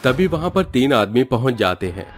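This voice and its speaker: A man's voice: medium pitch, sing-song, moderate pace, faint music behind. A man narrating a scripted cartoon story as the storyteller.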